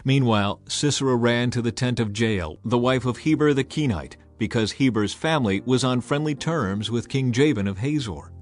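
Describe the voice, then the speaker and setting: A man reading scripted scripture narration in a measured, solemn storytelling manner. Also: medium pitch. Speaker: narrator of a dramatized audio Bible. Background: music under the voice.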